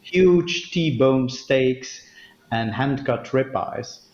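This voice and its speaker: A man, a native Dutch speaker, answering interview questions in a thoughtful, hesitant way with many pauses. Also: mid-range voice, darkish tone, roomy sound, dull audio. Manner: thoughtful, hesitant with frequent ums. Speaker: guest, company legal officer.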